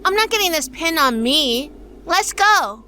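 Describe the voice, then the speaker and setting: A woman's voice: high-pitched, lively intonation, unhurried, sharp tone, slight background noise, full character voice. A woman acting as a bossy, exasperated crime-solving partner in a noir audio drama, scolding and no-nonsense. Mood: scolding, urgent. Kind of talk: noir radio-play dialogue and narration.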